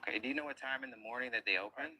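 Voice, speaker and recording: A man's voice: medium pitch, very fast, background noise. A man leading a diving search team, explaining each step in a casual, matter-of-fact way from a boat on a river. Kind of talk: casual on-site narration.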